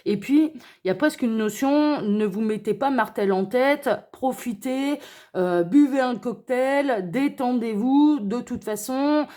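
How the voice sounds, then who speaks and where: A woman's voice: fairly high pitch, a little slow, warm tone. A woman with a slight cold and a sore throat, reading cards for her viewers in a chatty way, tagging her sentences with little check-ins. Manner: chatty, many "hein" and "d'accord" tags. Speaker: tarot card reader. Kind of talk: spiritual card reading to viewers.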